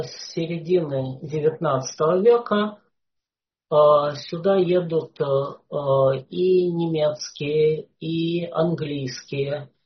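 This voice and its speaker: Slow, halting lecturer on a dull recording. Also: mid-range voice. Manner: hesitant, frequent "uh" fillers. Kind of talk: unscripted lecture.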